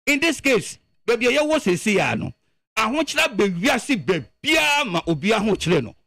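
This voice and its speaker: High-pitched, gravelly Ghanaian man. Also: normal speed, dry recording. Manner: animated and emphatic, with stumbles and repeated words. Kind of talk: animated political commentary on radio, mixing Twi and English.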